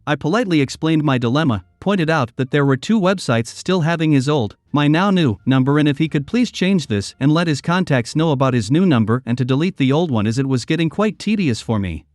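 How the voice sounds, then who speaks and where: A man narrating reddit stories in a steady, scripted storytelling read. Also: fairly high pitch, fairly quick, few pauses, no echo. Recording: dry close sound with soft music behind.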